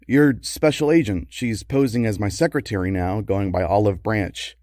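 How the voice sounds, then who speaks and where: An actor playing a worried, long-suffering husband of a secret superheroine in an audio comedy drama. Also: male voice, fairly low pitch, moderate pace, a little mellow, no room sound, studio quality, close to an everyday voice. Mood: wry, worried.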